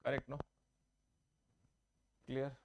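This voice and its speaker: A man's voice: slow pace, highs cut off, a little hiss or hum. Man teaching a math class. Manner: explains step by step, repeats and checks with students. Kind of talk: online exam-prep class. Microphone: own microphone, online class.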